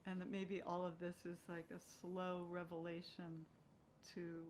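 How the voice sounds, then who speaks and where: A woman, a guest on a show, chatting casually and thoughtfully, pausing mid-sentence as she works out her point. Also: lowish voice, monotone, darkish tone. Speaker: guest, stage and screen actress. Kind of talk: casual conversation.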